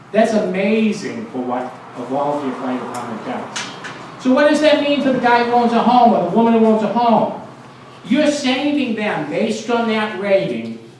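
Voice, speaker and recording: A man in his sixties with a Boston accent gives an informal, emphatic public speech in a plain-spoken way. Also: high-pitched. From United States. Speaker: guest speaker, fire protection engineer and town mayor. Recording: very echoey, distant microphone, faint noise.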